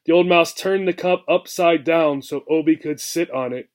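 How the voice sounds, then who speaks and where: A man reading a children's book aloud expressively, as a teacher would, pausing to explain. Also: a little high. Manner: explanatory, checks in with "right".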